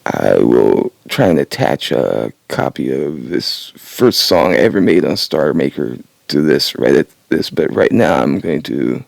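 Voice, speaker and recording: A man in his sixties talking about learning to sing in his retirement, raspy and a little congested, halting with many pauses and small laughs. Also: very deep voice, very animated, no echo. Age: 60s. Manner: halting, many uhs and restarts, laughs to himself. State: nasal congestion. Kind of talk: casual rambling monologue. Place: home, early morning.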